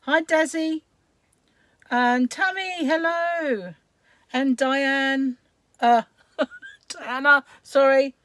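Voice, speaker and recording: A woman hosting a crochet livestream, chatting casually and greeting viewers by name, her voice croaky today with frequent throat clearing. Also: high-pitched, drawn-out words, long pauses, fairly bright tone, dry recording. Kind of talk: casual live-stream chat while crocheting.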